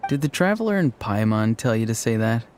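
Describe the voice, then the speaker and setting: A man's voice: medium pitch, big pitch swings, fairly quick, a little mellow, slight background noise, close to an everyday voice. A man playing a sincere, sheepish young grandson and stubborn would-be hero in a dramatic video game. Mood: wry, pleading.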